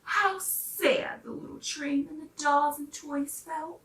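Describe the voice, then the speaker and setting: A woman reading a children's story aloud in an expressive storytelling style. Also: high voice, slow. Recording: roomy, distant microphone.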